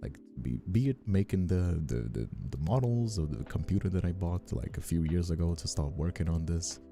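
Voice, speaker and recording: Male streamer, very mellow low voice with lively intonation, halting casual chat, music behind. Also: creaky. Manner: hesitant, halting, often repeats words. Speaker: streamer, host.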